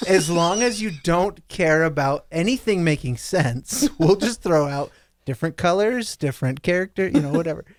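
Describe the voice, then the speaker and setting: Guy on a podcast kidding around, voice a bit high, dry recording. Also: animated, normal speed, no echo. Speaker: podcast panelist. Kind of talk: casual joking chat.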